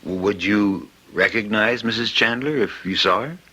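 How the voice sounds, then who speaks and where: An actor playing a brusque, world-weary hospital chief of staff in a radio drama, clipped and wry. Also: male voice, on the low side, a little slow, sharp tone, slightly rough, full character voice, rough recording. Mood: businesslike.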